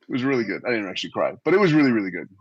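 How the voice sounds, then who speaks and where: A man co-hosting a podcast in casual, jokey conversation, speaking in an animated, playfully exaggerated way. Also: medium pitch, rapid-fire, breathy edge, dry recording, highs cut off. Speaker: podcast co-host.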